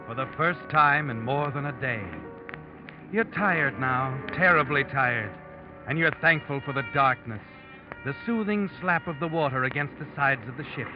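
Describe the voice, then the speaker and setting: An actor playing a knowing, ominous narrator who addresses the listener in suspenseful, ironic old-time radio style. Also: male voice, fairly high pitch, close to an everyday voice. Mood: brooding. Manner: ironic, suspenseful, deliberate phrasing. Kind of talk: dramatic second-person radio narration. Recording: muffled vintage radio recording, music behind. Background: dramatic music.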